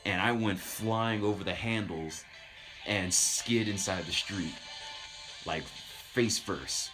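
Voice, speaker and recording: Low-voiced man telling stories at an easy pace. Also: slow, over music, low-quality recording. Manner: informal, self-deprecating. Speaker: streamer. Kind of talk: casual anecdotal storytelling.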